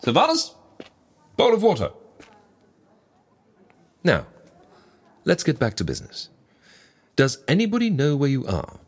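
An actor playing a prim, florid and droll fixer in ancient Egypt, in an audio drama. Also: male voice, fairly high pitch, huge pitch swings, measured pace, long pauses, breathy edge, exaggerated voice. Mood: composed.

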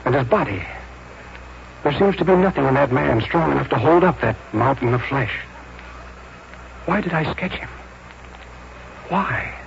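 An actor playing a troubled, confused artist in a 1940s radio drama, speaking in a halting, repetitive, anguished way. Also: male voice, medium pitch, animated, creaky. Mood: brooding, fixated. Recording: noisy muffled vintage 1940s radio recording.

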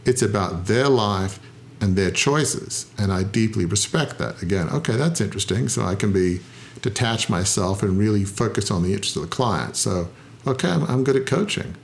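A middle-aged man with a fairly low voice speaks in a reflective interview. He is thoughtful and self-deprecating, pausing often with "uh" to gather his thoughts. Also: expressive, creaky. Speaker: founder and interview guest.

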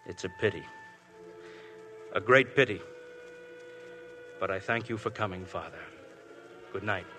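Pushy polite police inspector, bright edgy voice, old radio drama. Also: male voice, low-pitched, a little slow, soft background music. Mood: probing. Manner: polite but probing, pressing. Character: shrewd persistent male police inspector. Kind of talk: 1950s radio drama acting.

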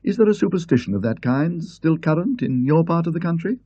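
A man's voice: a little high, lively intonation, brisk, dark tone, slightly rough, no echo, pitched above the performer's own voice. A man doing a prim, fussy skeptic professor. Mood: lecturing. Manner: precise, fussy, slightly hesitant. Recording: dull lo-fi recording.

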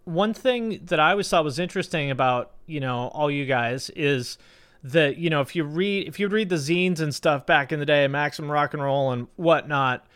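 A man's voice: on the high side, moderate pace, a bit bright, clear, resonant tone. A man speaking as a podcast host in a casual, conversational way, peppered with "like" and "you know". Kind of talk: casual interview chat and sponsor read.

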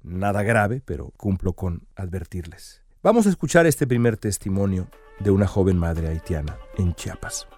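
A man's voice: deep voice, big pitch swings, normal speed, darkish tone, dry recording, soft background music. A man delivering earnest, emphatic news commentary as the show's host.

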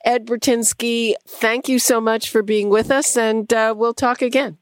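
A woman's voice: a little high, little pitch movement, measured pace, dry recording. A woman hosting a radio show, asking her guest direct questions. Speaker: radio host. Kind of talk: radio interview.

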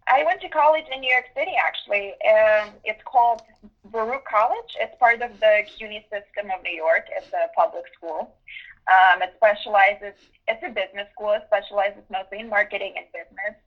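A woman in her twenties, from Ukraine, answering interview questions with many pauses and restarts. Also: mid-range voice, highs cut off. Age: 20s. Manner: thoughtful, with many ums and restarts. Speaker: guest journalist.

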